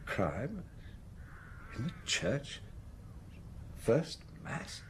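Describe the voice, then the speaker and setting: Spoiled count in a radio mystery, breezy and haughty, voice swings up and down a lot. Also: male voice, mid-range voice, very animated, drawn-out words, background noise. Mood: stunned. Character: profligate, cocky aristocratic count, a grown son. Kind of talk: radio detective drama acting.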